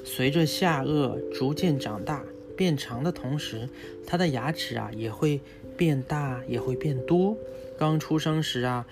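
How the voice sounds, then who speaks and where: A man's voice: fairly high pitch, unhurried. A man, a father, explains things step by step to his young child in casual talk, often checking in with little questions. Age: adult, father of a young child. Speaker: father and host teaching his child about animals. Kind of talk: casual educational chat with a child, explaining from a book. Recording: music behind the voice.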